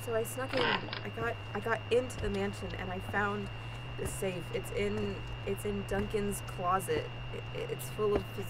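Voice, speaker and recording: A woman's voice: mid-range voice, steady tone, normal speed, close to an everyday voice. Frazzled, stammering young woman fugitive played by an actress, noisy recording. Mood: agitated, rambling. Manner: stammering, breathless rambling. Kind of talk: improvised old-time radio play acting.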